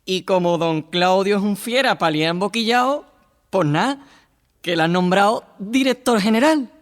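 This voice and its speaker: A man playing an excitable, chatty male friend bringing news in a theatrical radio drama. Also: high-pitched, moderate pace, long reverb tail, natural voice. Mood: excited.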